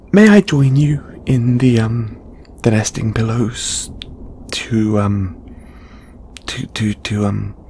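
A British man voicing a shy, flustered, affectionate male lamia flatmate in a halting, intimate audio roleplay. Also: medium pitch, a little hiss or hum. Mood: shy, hesitant. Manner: halting, bashful, stammering.

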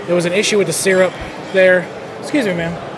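A man giving a relaxed, chatty food review while he eats in a buffet restaurant. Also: sits high, fairly quick, background noise. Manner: relaxed, chatty, thinks aloud between bites. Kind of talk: casual on-camera food review. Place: busy casino buffet restaurant indoors. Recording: loud crowd chatter and clatter around. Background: loud diners and dish clatter.

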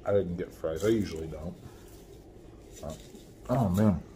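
A man in his forties doing a casual food review at home, chatty and relaxed, filmed on a phone at arm's length while eating. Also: sits low, unhurried, a little hiss or hum. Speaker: food vlogger. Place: small room at home, window blinds. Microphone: phone camera at arm's length.

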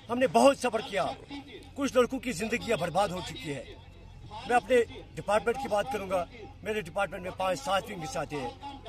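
High-voiced protest leader. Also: male voice. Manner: emphatic, insistent, rhetorical questions. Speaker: labourers' union leader. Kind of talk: protest statement to reporters. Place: open sports ground among a crowd. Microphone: handheld news microphones held close.

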